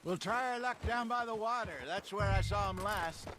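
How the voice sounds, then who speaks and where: An actor playing a wry, seasoned older outlaw hunter in a video game, with an American accent and a measured, folksy way of talking. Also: male voice, high-pitched, noisy background, natural voice. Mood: easygoing. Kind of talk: dramatic video game dialogue.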